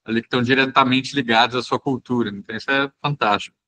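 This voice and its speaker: A man's voice: medium pitch, fairly even intonation, fast, bright, forceful tone. A man from Brazil teaching an online class, talking casually with many hesitations and restarts. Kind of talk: casual spoken class discussion.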